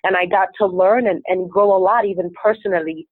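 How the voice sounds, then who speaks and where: A woman's voice: medium pitch, a little fast, no echo. Upbeat woman on a tinny phone line. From Venezuela. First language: Spanish. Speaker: life coach, guest. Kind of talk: podcast interview answers.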